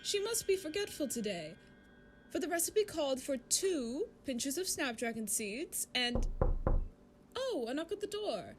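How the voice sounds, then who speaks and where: A woman's voice: high voice, sing-song, unhurried, noisy background. A woman playing a prim, controlling fairytale narrator in a short film, fussy and polite, with an emphatic sing-song storyteller delivery. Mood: prim, cheerful.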